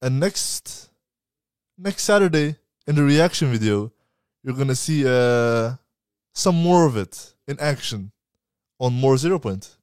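A young man co-hosting a live podcast stream in casual, relaxed chat, promoting the show's channels. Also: medium pitch, a little slow, clean tone, no echo, studio quality. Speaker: podcast co-host.

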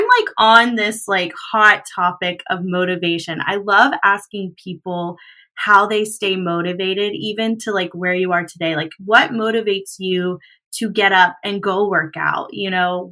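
A woman's voice: mid-range voice, a little slow, pushed and bright. A woman in her thirties hosting a casual chat, talking loosely with plenty of filler words. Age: 30s. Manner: chatty, lots of "like" and "you know".

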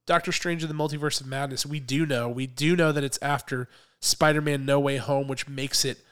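A man co-hosting a podcast in casual chat, a little hoarse from a cold, chatty and quick to laugh. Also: mid-range voice, fairly even intonation, fairly bright tone. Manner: chatty, laughs often, restarts phrases. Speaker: podcast co-host.

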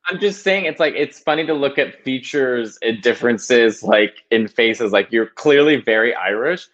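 A man as a podcast guest, talking casually in a halting, self-conscious way with many restarts. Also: mid-range voice, a bit bright, dull audio. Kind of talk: casual interview chat.

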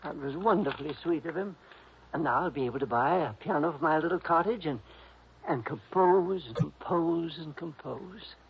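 A dull old radio recording of a man acting a sweet, eccentric old male composer. His voice sits rather high and has some creak. He rambles dreamily and hesitates as he goes, in 1960s radio drama style. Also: normal speed, slight background noise, close to an everyday voice. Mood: dreamy, delighted. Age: older. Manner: rambling, fluttery, hesitant, chuckling.

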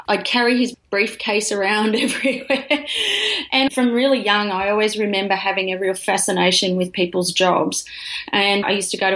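A woman's voice: medium pitch, fairly bright tone, low-quality recording. A middle-aged Australian woman, a podcast guest, telling her story in a chatty way with frequent laughs and restarts. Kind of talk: casual interview storytelling.

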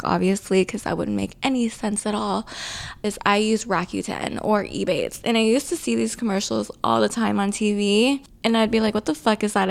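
A woman's voice: medium pitch, gravelly. A woman hosting a podcast, talking casually and chattily and swearing freely. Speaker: podcast host.

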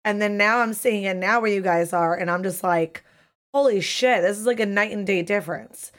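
A woman in her thirties hosting a live-streamed chat show, hoarse from losing her voice, talking casually and swearing freely. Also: mid-range voice, moderate pace. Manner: profane, chatty, animated. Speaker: podcast host.

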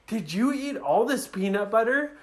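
A woman's voice: on the high side, expressive, moderate pace, crowd noise, voice pushed up, close to an everyday voice. A woman voicing cheeky young children in a story, with a blunt, mock-innocent, teasing manner.

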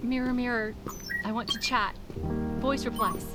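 A woman acting in an audio drama as an affectionate loved one, tender and deliberate. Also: on the high side, steady tone, natural voice. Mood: hopeful. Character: affectionate loved one relieved by distant messages. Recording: loud music behind the voice.

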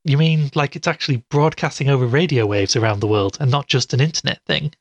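A man's voice: medium pitch, quick pace, no room sound, dull audio, natural voice. A man acting as a sceptical, tired podcaster in an audio drama, speaking in a casual, understated way. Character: sceptical, tired male podcaster investigating a mystery.

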